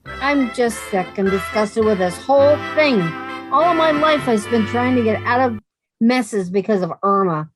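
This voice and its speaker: A woman voicing a sensible, fed-up young working woman in an old-time radio comedy, brisk and sarcastic. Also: a little high, music in the background, lightly acted, low-quality recording. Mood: exasperated.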